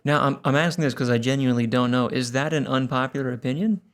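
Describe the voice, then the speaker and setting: A man's voice: medium pitch, fast talker. Man co-hosting with casual banter. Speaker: co-host. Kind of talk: casual banter, short interjections and questions.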